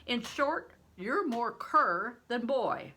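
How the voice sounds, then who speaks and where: A huge, shrewd medieval wanderer played by a woman reading a storybook blusters in old-fashioned speech. The delivery is loud, bright and slightly rough, with big swoops and slow, theatrical emphasis. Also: expressive, full character voice. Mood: scornful, loud. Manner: booming, theatrical, interrogating in archaic speech.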